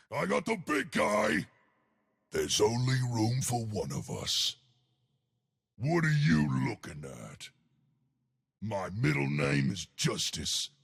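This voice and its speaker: A man voicing a huge, boastful, simple-minded stone giant from a video game, eager for a fight, with a gravelly, husky voice and a slow, hammy delivery. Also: mid-range voice, huge pitch swings, fairly bright tone, full character voice. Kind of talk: video game character voice lines.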